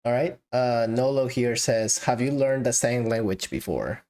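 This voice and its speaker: A man's voice: mid-range voice, measured pace, darkish tone. A man hosting a casual podcast interview, reading out listener questions in a playful, joking way. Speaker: podcast host.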